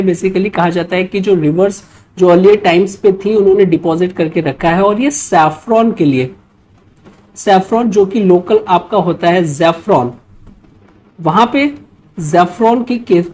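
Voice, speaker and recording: A woman's voice: fairly low pitch, unhurried, darkish tone, low-quality recording. A woman with an Indian accent giving an instructional history lecture in mixed Hindi and English, reading out quiz questions and often adding check-in tags. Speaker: history teacher for exam preparation.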